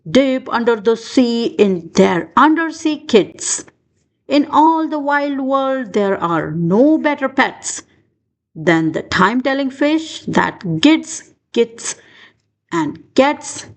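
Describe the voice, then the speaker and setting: A woman reading a rhyming children's storybook aloud in an expressive, sing-song way. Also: high voice. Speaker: children's book reader.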